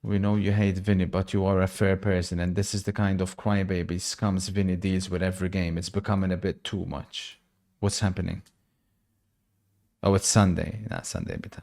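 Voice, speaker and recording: A man's voice: low voice, little pitch movement, moderate pace, creaky, a little mellow. Chatty man commentating a football watch-along. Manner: chatty, reacts to the match and reads out viewer messages. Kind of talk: casual live match commentary and chat.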